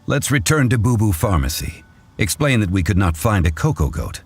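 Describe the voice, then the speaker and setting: Dignified, measured male adviser for a game, low voice with expressive swings, faint music. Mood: calm, resolved. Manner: formal, measured. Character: composed, formal, dignified gentleman adviser. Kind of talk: video game dialogue acting.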